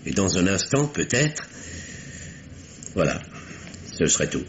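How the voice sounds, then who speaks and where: A man's voice: sits low, sing-song, unhurried. An actor playing a nervous, courteous bourgeois man in a 1950s French radio mystery, formal and precise but slightly flustered. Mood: careful recounting. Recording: faintly noisy vintage radio recording.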